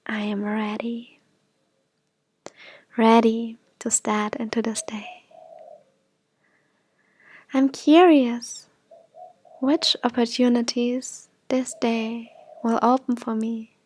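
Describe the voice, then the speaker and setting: A woman guiding an affirmation meditation in soft, gentle, breathy phrases that often drop toward a whisper. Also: on the high side, little pitch movement, slow pace, pauses often, no echo. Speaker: meditation guide.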